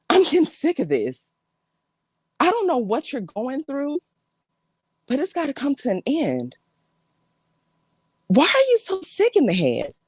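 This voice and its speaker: Fed-up woman confronting a relative, played by an actress, melodramatic and accusing, highly animated pitch, dry recording. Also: breathy edge, no echo, exaggerated voice. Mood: angry, fed up. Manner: accusing, exasperated. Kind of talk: melodramatic audition monologue.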